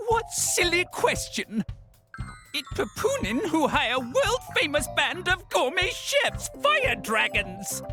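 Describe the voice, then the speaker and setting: A woman's voice: extremely high pitch, huge pitch swings, slow, music in the background, exaggerated voice. A woman voicing a small, bubbly, insistent fantasy creature in a video game, using broken third-person speech. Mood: insistent, proud.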